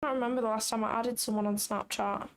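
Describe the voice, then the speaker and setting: A woman's voice: fairly high pitch, fairly even intonation, fast. A young adult woman chatting casually on a trading livestream, blunt and sarcastic, swearing freely.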